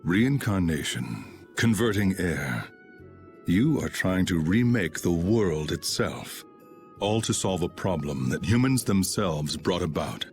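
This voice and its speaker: A man's voice: sits low, lively intonation, slow pace, a little mellow, soft background music, heavily acted. An actor playing an aloof, stern swordsman who guards the natural world, speaking formally and solemnly in a video game cutscene. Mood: cold, solemn.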